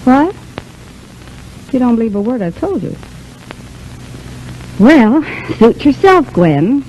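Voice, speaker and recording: An actress playing a quick-thinking, bossy, protective showgirl friend in a vintage radio soap, talking briskly and chattily. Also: on the high side, big pitch swings, mellow. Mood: breezy, bluffing. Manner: brisk, chatty, takes charge. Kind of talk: 1930s-40s radio soap opera acting. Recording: muffled, hissy vintage radio recording.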